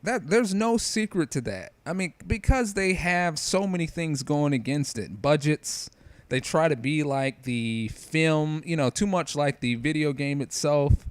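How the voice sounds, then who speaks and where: A man's voice: fairly high pitch, unhurried, no room sound. A man giving casual, opinionated review commentary in an informal, slangy, conversational way. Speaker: video creator giving commentary.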